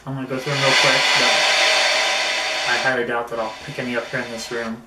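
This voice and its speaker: A man's voice: medium pitch, little pitch movement. Man reviewing gear in an echoey room. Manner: halting, pausing mid-phrase. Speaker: product reviewer. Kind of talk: casual hands-on gear review. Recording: roomy echo, faint background noise.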